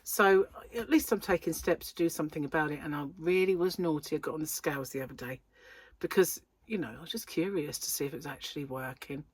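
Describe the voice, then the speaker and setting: A middle-aged British woman with a wheezy breath, chatting casually to camera as host of a crochet channel, self-deprecating and quick to laugh. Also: low-pitched, husky, dry recording. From United Kingdom.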